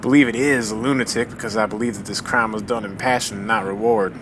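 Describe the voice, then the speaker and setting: A man playing a matter-of-fact, measured amateur sleuth in a period radio mystery. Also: steady tone, natural voice. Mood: measured, deliberating. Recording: train rumble behind the voice.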